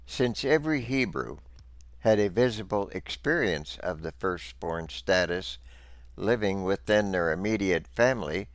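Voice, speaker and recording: A man with a low, resonant voice narrates religious teaching from a script. His delivery is slow and deliberate, with a formal, didactic manner. The sound is clean and smooth. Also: expressive, dry recording.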